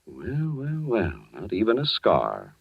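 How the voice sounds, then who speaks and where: A low-voiced middle-aged American stepfather, shrewd and quietly menacing. His intonation swings widely as he probes wryly, in 1940s radio drama style on a dull, dry recording. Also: wildly swooping pitch, slow pace, gravelly, no echo, exaggerated voice. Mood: probing, knowing.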